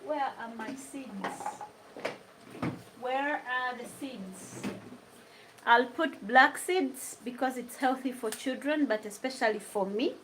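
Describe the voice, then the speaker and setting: Slow-talking Ugandan woman, laughs a lot. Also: high-pitched, fairly bright tone, a little hiss or hum, low-quality recording. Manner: chatty, laughs often, drifts between English and Luganda. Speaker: vlogger. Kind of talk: casual chat while cooking, answering live comments. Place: home kitchen.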